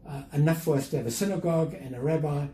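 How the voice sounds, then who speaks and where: An older man with a South African accent, talking reflectively and carefully as an interview guest, with measured, hesitant phrasing. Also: fairly high pitch, warm tone. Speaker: interview guest, museum chief executive. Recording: roomy echo, faint background noise.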